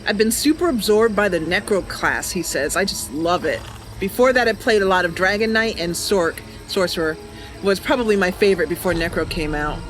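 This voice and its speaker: A woman hosting a casual gameplay livestream, chatty and giggly, with hesitant pauses. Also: medium pitch, expressive, rough recording. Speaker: livestream host. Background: game music and ambient sounds.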